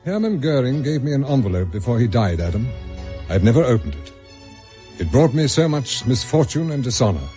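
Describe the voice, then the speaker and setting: A male actor as a remorseful elderly English father in a radio drama. His voice is mellow and medium-pitched, solemn and expressive, with quiet music underneath. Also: a little fast, natural voice. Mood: sorrowful, solemn.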